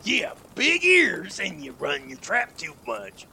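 A man's voice: sits high, wildly swooping pitch, takes it slowly, gravelly, pushed and bright, a little hiss or hum, exaggerated voice. A man playing a menacing western outlaw in an old-time radio drama, with a threatening delivery.

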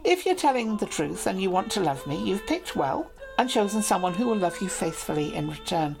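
A woman voicing a proud, clever young noble lady who speaks sweetly and persuasively, with soft music behind her. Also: mid-range voice, big pitch swings, brisk, vocal fry, rough recording. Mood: teasing, cautious.

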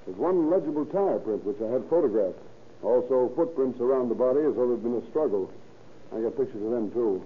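Actor as matter-of-fact police deputy, tinny radio. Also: male voice, medium pitch, animated, a little fast, natural voice. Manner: orderly, factual reporting. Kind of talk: 1930s radio acting. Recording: tinny, faintly hissy 1930s radio.

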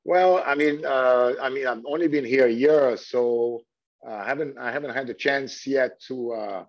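A man's voice: medium pitch, moderate pace. A middle-aged man from Switzerland whose first language is French, answering interview questions with many fillers and restarts. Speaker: hotel general manager. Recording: dull lo-fi sound.

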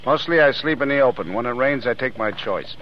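An actor playing a tough, wisecracking, footloose American drifter with gruff slang, in 1930s radio style. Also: male voice, mid-range voice, lively intonation, a little fast, natural voice. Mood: breezy. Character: tough, footloose, wisecracking Depression drifter with hidden soft heart. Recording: muffled, hissy old radio recording.

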